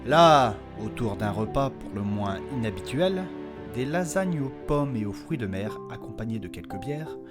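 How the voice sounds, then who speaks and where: A man's voice: mid-range voice, lively intonation, normal speed. A man giving scripted storybook narration as the narrator of a fantasy audio series. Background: loud music.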